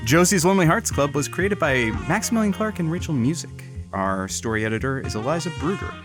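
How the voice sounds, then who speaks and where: A man's voice: a little high, animated, quick pace, music in the background, natural voice. A man playing a cheeky late-night radio sidekick, reading in a cozy, showy announcer manner. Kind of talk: comic banter and scripted credits narration.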